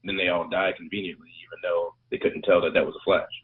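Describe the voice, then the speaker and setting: A man chatting casually as a podcast co-host, animated and acting out scenes in quoted voices, with a husky voice. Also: low-pitched, quick pace, slight background noise. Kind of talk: casual chat. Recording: tinny phone-like audio.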